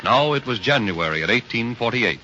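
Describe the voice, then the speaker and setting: A man's voice: mid-range voice, lively intonation, normal speed, pushed and bright, a little hiss or hum. A man narrating a 1930s radio history drama in a grandiose, declamatory announcer style. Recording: muffled 1930s radio recording.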